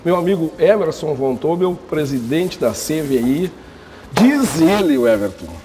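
A man's voice: a little high, lively intonation, a little slow, dark tone, slight background noise. A man hosting a casual cooking show in a showroom kitchen, talking in a chatty way with restarts. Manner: chatty, many restarts and fillers. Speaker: host. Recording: music under the voice. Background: background music.

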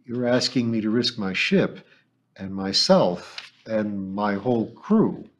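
A male audiobook narrator voicing a gruff, blunt middle-aged ship captain. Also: on the low side, lively intonation, slow pace. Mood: brusque.

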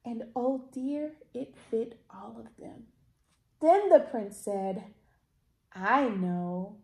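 A woman in her thirties reads a children's picture book aloud expressively, with deliberate, theatrical emphasis. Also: on the high side, lively intonation, vocal fry, mellow. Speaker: library storytime reader. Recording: roomy indoor echo.

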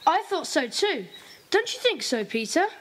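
A woman's voice: sits high, big pitch swings, moderate pace, fairly bright tone. A woman playing an observant, thoughtful young boy in an audio drama.

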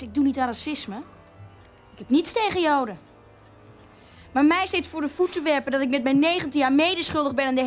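A young woman acting in a radio drama as a resentful, naive teenage girl, chatty and self-justifying. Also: high voice. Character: naive, resentful, prejudiced nineteen-year-old girl.

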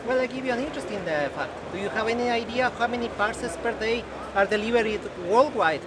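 Man, middle-aged, high voice, eager and emphatic, exhibition hall, heavy background noise. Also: fast talker. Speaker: locker company representative. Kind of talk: trade-fair interview. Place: busy indoor exhibition hall.

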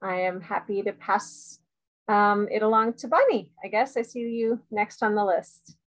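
A thoughtful woman introduces herself on a webinar, pausing to search for her words. She speaks over a video-call mic at a middling pitch. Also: poor audio. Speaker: project manager. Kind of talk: casual self-introduction.

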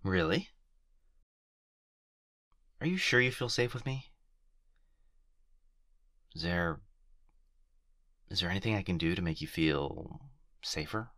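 A man playing an obsessive, doting fox-spirit husband in an intimate ASMR roleplay, speaking softly and tenderly, close to the microphone. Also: low-pitched, no room sound. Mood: tender, concerned. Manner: soft, hushed, tender, halting delivery. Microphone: close binaural microphone.

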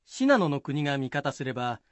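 A man's voice: mid-range voice, very animated, normal speed, no room sound. A man narrating a historical tale from a script as storyteller.

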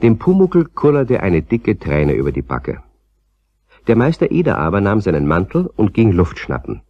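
A man's voice: on the low side, wildly swooping pitch, normal speed. A man narrating a scripted children's story as a storyteller. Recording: slightly dull, roomy old cassette recording.

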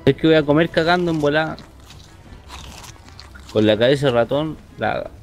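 A man's voice: a little high, moderate pace, faint music behind. A young Chilean man with a Chilean accent, joking crudely while playing a game with friends. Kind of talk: casual gaming chat.

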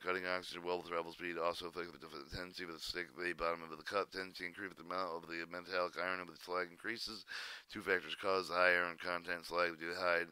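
A man reading a technical manual aloud in a halting way, often stumbling and repeating words. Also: low-pitched, sharp tone, clear, resonant tone, no echo. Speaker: channel narrator reading a training manual aloud.

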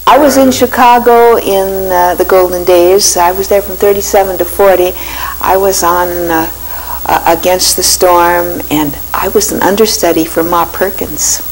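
Older female radio veteran, interview recollection, lowish voice, faint tape hiss, lapel mic indoors. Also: fairly even intonation, normal speed, low-quality recording. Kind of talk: interview reminiscence. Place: indoors, photo-lined room. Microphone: clip-on lapel.

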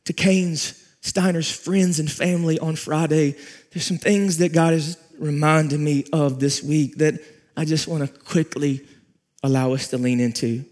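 A man in his forties preaching a sermon on a large church stage, with repeated phrases, pauses and rising emphasis. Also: on the high side, steady tone, a little mellow. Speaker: pastor.

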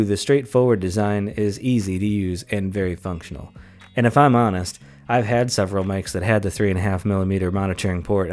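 A man in his thirties giving a relaxed, measured product review from a small home office, speaking close into a desk condenser mic. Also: fairly low pitch. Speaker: tech reviewer. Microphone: close desk condenser mic on boom arm.

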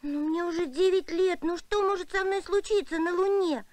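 A woman's voice: very high-pitched, fairly even intonation, moderate pace, darkish tone, no room sound. A woman voicing a cheerful, confident nine-year-old girl in a Soviet cartoon. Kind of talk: Soviet animated film voice acting.

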